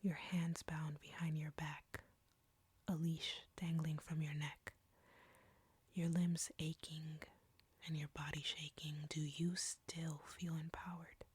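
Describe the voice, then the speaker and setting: A woman playing an arrogant, flirty, menacing vampire in an intimate audio roleplay, with a breathy whisper and a taunting, deliberate delivery. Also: sits low, level tone, a little mellow, no room sound, exaggerated voice.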